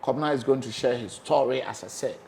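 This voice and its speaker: A man with a Ghanaian accent hosting a casual interview, animated and prone to repeating his phrases. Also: medium pitch, quick pace, gravelly, a little hiss or hum. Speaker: host.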